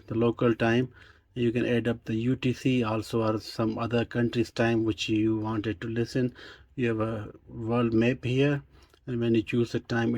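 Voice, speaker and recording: Husky, mellow man demonstrating gear. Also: mid-range voice, dry recording. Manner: halting, explanatory, non-native phrasing. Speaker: radio reviewer and demonstrator. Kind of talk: casual product demonstration.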